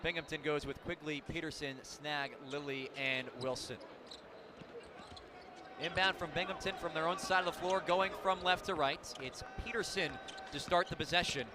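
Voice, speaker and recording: A man's voice: fairly high pitch, fairly even intonation, normal speed. An American man doing live radio play-by-play of basketball, with clipped, descriptive calls. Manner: clipped, descriptive calls that rise on big plays. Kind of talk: live sports commentary. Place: indoor basketball arena. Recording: clear arena noise, bouncing basketballs. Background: game noise and basketball bounces.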